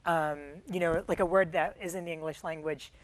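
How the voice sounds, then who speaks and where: A middle-aged woman from Michigan whose first language was Tamil speaks in a fairly low voice. She talks through her story in a relaxed interview, filling pauses with ums and uhs and restarting her sentences as she thinks. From Michigan, USA. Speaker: guest. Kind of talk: conversational interview.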